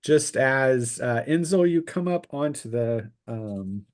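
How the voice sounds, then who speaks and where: A man narrating a tabletop roleplaying game as game master, improvising descriptions in a halting way. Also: medium pitch, lively intonation.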